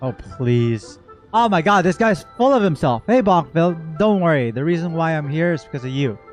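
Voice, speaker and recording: High-voiced man streaming, casual and expressive. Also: moderate pace. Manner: expressive, joking, talks back to characters. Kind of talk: casual game reaction commentary. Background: game music.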